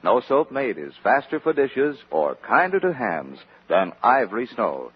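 A man's voice: medium pitch, wildly swooping pitch, unhurried, breathy edge. An American man reading a scripted 1940s radio advertisement as a commercial announcer. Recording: dull old radio recording.

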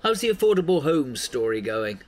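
An actor playing a middle-aged, supportive local newspaper editor in an audio drama. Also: male voice, on the high side, big pitch swings, moderate pace. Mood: encouraging, chatty.

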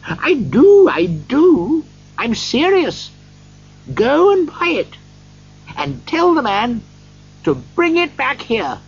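A storybook reader puts on an elderly reformed miser, bursting with eager generosity. The voice lifts well above a normal speaking pitch and swings about excitedly. The recording is a little dull, with faint noise. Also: takes it slowly, creaky, long pauses, heavily acted. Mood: giddy, excited. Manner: exuberant, eager, effusive. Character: elderly miser turned giddy, joyful, generous.

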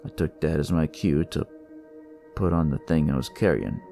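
A man's voice: very deep voice, moderate pace, vocal fry, warm tone, soft background music. A man narrating a first-person detective tale as a scripted story.

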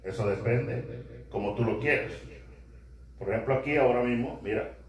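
A middle-aged man casually demonstrating a karaoke speaker in his living room, talking in a relaxed, upbeat way into a handheld mic. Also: medium pitch, moderate pace. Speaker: product reviewer. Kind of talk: casual product demo. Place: small home living room, indoors. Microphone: handheld karaoke mic through speaker, filmed by far camera. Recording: echoey karaoke speaker, far camera.

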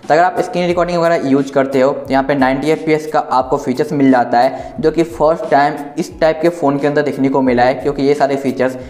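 A man's voice: fairly high pitch, steady tone, quick pace, hardly any pauses, a little mellow. A man presenting an informal tech review in an explanatory, reassuring way. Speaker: tech reviewer, video host. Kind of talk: informal phone software review narration. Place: indoors, echoey room. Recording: roomy indoor echo.

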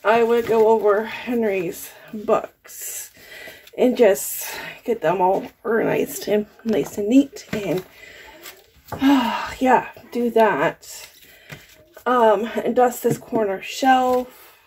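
Slow-talking chatty woman vlogger. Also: on the high side, darkish tone, a little hiss or hum. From Canada. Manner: chatty, laughs often, talks to her pets. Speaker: lifestyle vlogger. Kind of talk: casual chat to camera. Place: bedroom at home, indoors.

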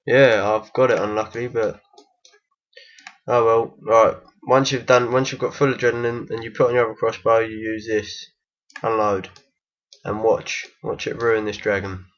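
A man with a croaky voice from being unwell gives a casual, hesitant game tutorial voice-over. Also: on the low side, little pitch movement, moderate pace, highs cut off. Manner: hesitant, many ums and restarts. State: croaky from illness. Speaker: game guide maker.

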